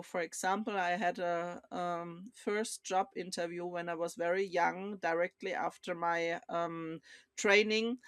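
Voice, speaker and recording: A woman's voice: fairly low pitch, clear, resonant tone, dry recording. A woman co-hosting a business culture talk, speaking hesitantly with frequent fillers. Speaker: co-host. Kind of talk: conversational podcast discussion.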